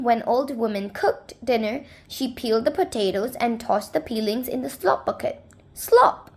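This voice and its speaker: A woman narrating a children's story expressively, with a dramatic, deliberate delivery. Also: fairly high pitch. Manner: dramatic, deliberate, with emphasised sound words.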